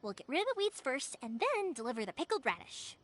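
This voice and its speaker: An actress voicing a cheerful, energetic young fireworks maker in a video game, bubbly and reassuring. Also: female voice, high-pitched, fast, slight background noise. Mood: eager, reassuring. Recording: music behind the voice.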